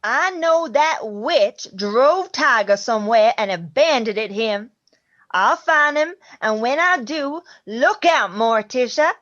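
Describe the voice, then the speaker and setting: A woman's voice: fairly high pitch, lively intonation, slow pace, fairly bright tone, lo-fi sound. A woman playing a feisty, vengeful ghost grandmother in an amateur radio drama. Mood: vengeful, defiant. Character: feisty ghost grandmother, vengeful, nosy, haunting her old house.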